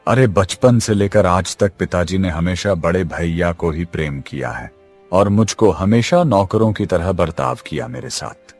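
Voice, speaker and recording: A man's voice: sits low, expressive, a little slow, darkish tone. A man playing a boastful, spiteful younger brother and village chief in a cartoon, haughty and taunting. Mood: angry, bitter. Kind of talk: Hindi cartoon story acting. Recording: music behind the voice. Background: music.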